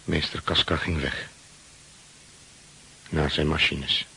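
An actor playing a seafaring man who narrates grim memories in a measured, sombre radio play style. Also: a bass voice, level tone, creaky, sharp tone, slightly rough, heavily acted, rough recording.

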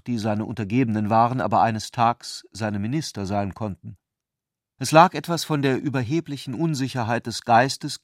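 A man as a measured, ironic narrator in a literary radio drama, reading in a deliberate, wry way. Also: medium pitch, no echo, studio quality, lightly acted.

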